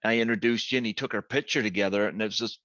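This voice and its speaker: A man's voice: lowish voice, fairly even intonation, rapid-fire, fairly bright tone, no echo. A man hosting an interview, talking in a rambling way with lots of fillers. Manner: rambling, many ums and uhs. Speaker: podcast host. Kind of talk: casual interview chat. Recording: dry, muffled lo-fi audio.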